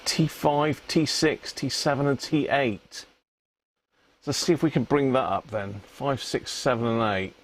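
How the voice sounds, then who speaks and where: A man's voice: medium pitch, measured pace. A British man hosting a live repair stream, talking casually and half to himself as he works, often tagging questions onto his remarks. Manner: muttering to himself, tag questions. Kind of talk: casual live bench commentary.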